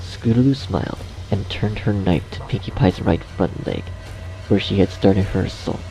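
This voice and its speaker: A man's voice: low-pitched, normal speed, vocal fry, low-quality recording. A man reading scripted horror story narration as the narrator. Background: music.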